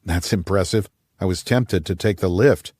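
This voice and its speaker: A composed undercover agent , read by a male narrator. He is courteous and easygoing, in a fairly low voice with a dry sound, as in a thriller audiobook. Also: normal speed, darkish tone, breathy edge, no room sound.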